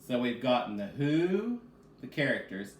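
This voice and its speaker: A man with a mid-pitched voice leads a children's read-aloud lesson as a reading teacher. He speaks directly to young listeners. The room is echoey, with faint noise behind him. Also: big pitch swings, measured pace. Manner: addresses children directly, asks and answers own questions.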